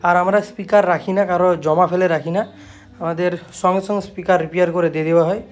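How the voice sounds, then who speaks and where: A man's voice: high-pitched, steady tone, very fast, soft background music. A man with a West Bengal Bengali accent, a repair shop owner talking casually to viewers, repeating himself and often adding confirming tags. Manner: repetitive, habitual confirming tags. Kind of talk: casual shop video, showing work to viewers.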